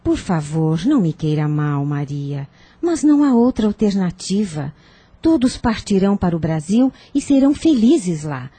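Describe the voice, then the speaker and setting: A woman playing a firm, persuasive older aunt in a radio soap opera, genteel, formal and measured. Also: on the low side, very animated, warm tone, slightly rough, no echo. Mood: reassuring.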